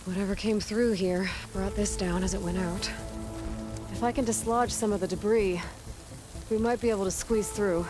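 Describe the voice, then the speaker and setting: An actress playing a young outcast huntress in a video game, practical and matter-of-fact, thinking aloud. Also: female voice, medium pitch, fairly even intonation, moderate pace, over music, lightly acted. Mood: investigating. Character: young female outcast hunter, capable, curious, pragmatic. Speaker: game protagonist. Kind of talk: naturalistic video game cutscene acting.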